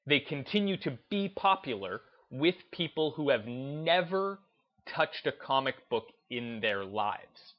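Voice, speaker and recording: A man hosting a gaming video essay, speaking in an emphatic, deliberate, unscripted way and repeating phrases to drive his points home. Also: medium pitch, very animated, lo-fi sound. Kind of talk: opinionated commentary, unscripted talk to listeners.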